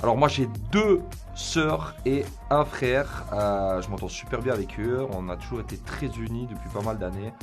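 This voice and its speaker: A man's voice: mid-range voice, expressive, normal speed. Young man chatting casually over music. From Belgium, also Croatia. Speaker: interviewee in a couple's question game. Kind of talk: casual chat. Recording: music bed behind the voice.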